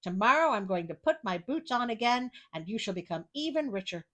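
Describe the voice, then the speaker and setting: A woman voicing a clever, smooth-talking male cat in boots in a storybook reading, with a courtly, self-assured manner. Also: fairly high pitch, sing-song, fairly quick, a bit bright. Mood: confident, scheming.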